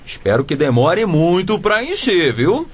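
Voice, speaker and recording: Moralizing dad, preachy, kinda high voice. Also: male voice, very animated, a little slow. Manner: lecturing, moralizing. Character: stern honest father and civil servant. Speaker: father. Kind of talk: radio drama acting.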